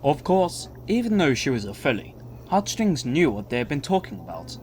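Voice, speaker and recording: A man's voice: on the high side, very animated, normal speed, a little mellow, slight background noise. A man delivering scripted storybook narration as the narrator of an audio drama.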